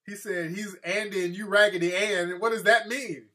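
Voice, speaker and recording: A man hosting a call-in show, blunt and joking, firing off quick questions and laughing often. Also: high voice, steady tone, sharp tone. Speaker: host. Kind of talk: casual chat.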